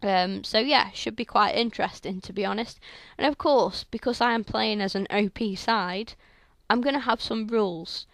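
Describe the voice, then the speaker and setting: A young woman with a sore, croaky throat, chatting through video game commentary on a headset mic in a rambling way full of fillers. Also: medium pitch, normal speed, fairly bright tone, no echo. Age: young adult. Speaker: gaming channel host. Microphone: headset microphone.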